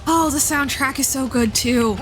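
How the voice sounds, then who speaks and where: A woman live-streaming a game with casual, expressive, sweary commentary full of exclamations. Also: high-pitched, normal speed, faint music behind. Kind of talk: casual reactive gameplay commentary.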